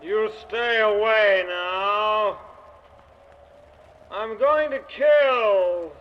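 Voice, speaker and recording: An actor playing a mocking, cackling, murderous ghost in an old-time radio horror drama. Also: male voice, high-pitched. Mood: eerie menace. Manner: slow, drawn-out, mocking, cackling. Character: taunting murderous ghost luring a man upstairs, gleefully cruel. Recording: muffled, noisy old radio drama recording.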